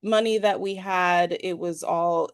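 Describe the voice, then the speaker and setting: A woman from New York, born in Peru, telling her personal story as an interview guest in a hesitant, halting way. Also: fairly low pitch, steady tone, clean tone, dry recording. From New York, USA, born in Peru. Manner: hesitant, halting, thinking as she speaks. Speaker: podcast guest.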